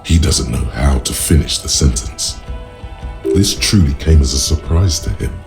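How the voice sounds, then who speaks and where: A man voicing a brooding, poetic narrator of a noir game world, with slow, gravelly, literary delivery. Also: a bass voice, heavily acted. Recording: music under the voice. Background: music.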